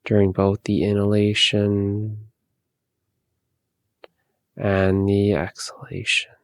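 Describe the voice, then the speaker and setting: A man leading a guided meditation, giving calm, soothing, unhurried instructions with long pauses. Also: on the low side, dry recording, studio quality.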